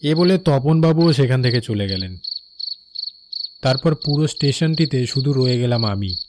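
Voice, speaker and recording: A man playing an uneasy night-shift railway worker, narrating his own horror story with suspense in an animated cartoon. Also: medium pitch, normal speed, noisy background, lightly acted. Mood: calm recounting. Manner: suspenseful, with fearful repeated phrases. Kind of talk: first-person dramatic horror story narration.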